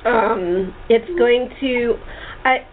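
A woman hosting a casual group conversation, speaking in halting, hesitant phrases. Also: on the high side. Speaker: host. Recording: tinny phone-like line with clear noise.

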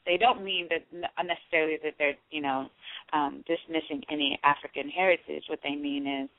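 Low-voiced woman on a phone line. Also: steady tone, dry recording. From Panama. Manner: reflective, measured, with frequent um fillers and restarts. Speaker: guest poet and artist. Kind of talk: conversational interview and reading her own prose. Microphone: phone call.

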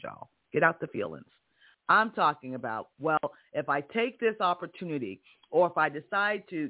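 A man with a strong voice hosting a career podcast, giving an emphatic motivational monologue full of rhetorical questions. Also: fairly high pitch. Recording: tinny phone-like audio.

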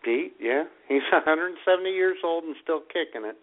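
Male comedy radio co-host: animated, mid-pitched, casual banter, narrow telephone-like sound. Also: normal speed. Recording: thin phone-like band-limited radio audio.

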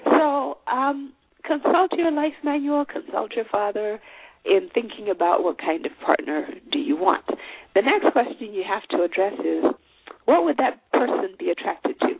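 A woman's voice: on the high side, lively intonation, normal speed, gravelly, husky, dry recording. Woman host, phone-like lo-fi sound. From Zimbabwe. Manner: earnest, conversational, with many fillers. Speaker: show host. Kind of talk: solo advice talk. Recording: phone-like line with faint noise.

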